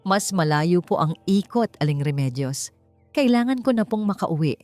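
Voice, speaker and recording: A woman voicing an anxious, weary man in his fifties, a jeepney driver, hushed and hesitant, with a Filipino accent, in an audiobook. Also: lowish voice, lively intonation, moderate pace, no room sound, faint music behind, close to an everyday voice. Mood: polite, resigned.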